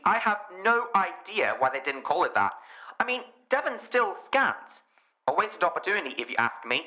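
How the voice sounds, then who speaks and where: A man's voice: sits high, bright, forceful tone, full character voice. An English man playing a pompous, pedantic geography radio DJ in a comedy radio play, with presenter patter through a radio filter. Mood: smug, explanatory. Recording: dull band-limited radio sound.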